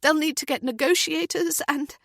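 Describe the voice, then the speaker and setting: A woman's voice: high-pitched, moderate pace, gravelly, a bit bright, dry recording, studio quality, pitched above the performer's own voice. A woman voicing an anxious young woman, speaking in frantic, urgent bursts. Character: anxious young woman, panicking over missing partner.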